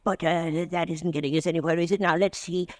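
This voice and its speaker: An actor playing a soft-spoken, menacing man who plans people's retribution, in 1940s radio drama style, silky and hesitant with little giggles. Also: a little high, fairly even intonation, a little fast, dry recording, natural voice. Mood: genial. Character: creepy polite male retribution agent, menacing.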